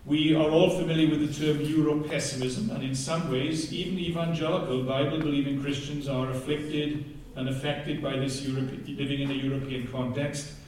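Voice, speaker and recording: A man's voice: fairly high pitch, fairly even intonation, moderate pace, darkish tone. A man with a Welsh accent preaching a passionate sermon and reading scripture aloud, often picking up speed as he goes. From Wales. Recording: very echoey hall, heard from a distance.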